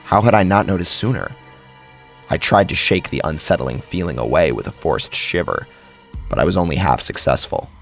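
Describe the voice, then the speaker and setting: A man's voice: deep voice, expressive, normal speed, vocal fry, fairly bright tone. A man narrating a scripted first-person horror story dramatically, close on the microphone. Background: background music.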